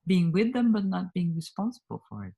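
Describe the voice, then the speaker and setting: A woman in her fifties with a Swedish accent, a Swedish native speaker, talking earnestly and reflectively as an interview guest over a video call, laughing often and letting her sentences trail off softly. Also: on the low side, very animated, brisk, creaky, husky. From Sweden. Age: 50s. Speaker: guest, communication trainer.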